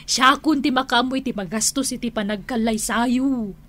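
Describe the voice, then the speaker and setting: High-voiced, unhurried coaxing auntie. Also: female voice, fairly bright tone. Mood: playful coaxing. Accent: Ilocano. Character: kindly auntie confidante, coaxing and playful. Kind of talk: Ilocano radio drama acting.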